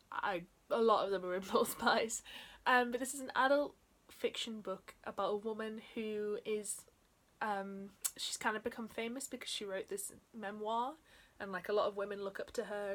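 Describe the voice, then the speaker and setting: A young woman in her twenties, slightly croaky after being ill, chatting casually about books from a small bedroom, with frequent ums and soft trailing endings. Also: medium pitch. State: slightly croaky from a chest infection. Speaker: book vlogger. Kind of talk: casual book haul chat.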